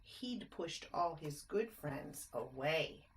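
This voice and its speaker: A woman reading a rhyming picture book aloud to children, expressive, with chatty explanatory asides and simple questions for the listeners. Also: low-pitched, slow, slight background noise, poor audio.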